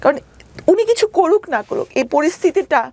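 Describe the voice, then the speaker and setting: A woman's voice: very high-pitched, animated, fairly quick, darkish tone, no echo. A woman with a Bangladeshi accent telling her personal story as an interview guest, emphatic and insistent, often repeating her points.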